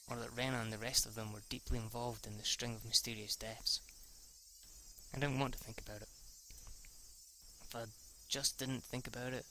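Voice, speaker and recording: Gravelly, bright-voiced man reading a visual novel. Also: medium pitch, a little hiss or hum, low-quality recording. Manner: halting, stumbles over words, sweary asides. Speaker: let's-play reader.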